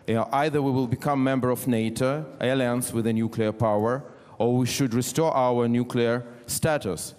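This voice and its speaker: A man's voice: mid-range voice, steady tone, moderate pace, few pauses, darkish tone, clear, resonant tone. A man from Ukraine asking a panel a direct, firm question. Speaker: audience member asking a question. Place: large conference hall. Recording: long hall reverb.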